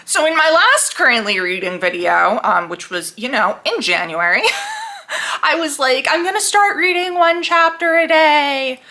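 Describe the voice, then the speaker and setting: A young woman talking casually to camera about what she is reading, chatty and quick to laugh, acting out her own reactions. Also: high-pitched, very animated, sharp tone. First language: English. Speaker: book vlogger.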